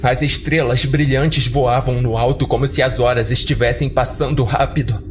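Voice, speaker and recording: A man's voice: medium pitch, steady tone, normal speed, faint music behind. A man narrating a scripted horror story in a dramatic audiodrama style, as a podcast storyteller.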